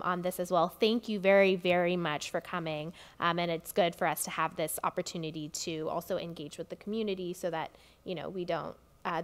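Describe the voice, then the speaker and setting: A woman chairing a public commission meeting, speaking politely and in a measured way, with frequent fillers. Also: medium pitch. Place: community hall meeting room. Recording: long reverb of a meeting hall.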